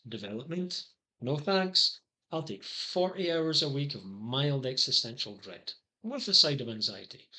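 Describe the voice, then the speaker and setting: Bright-voiced Scottish man, wry and earnest. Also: mid-range voice, rough recording. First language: English. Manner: wry, dry asides between earnest points. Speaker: psychology consultant. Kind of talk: scripted promotional monologue.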